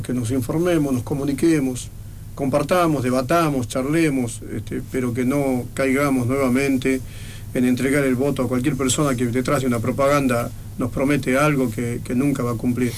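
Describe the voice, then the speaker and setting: A man's voice: medium pitch, a little hiss or hum. A man with an Argentine accent speaking as a guest on a radio show, earnest and persuasive, stacking phrases in rhythm. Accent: Argentine Spanish. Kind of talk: casual radio interview.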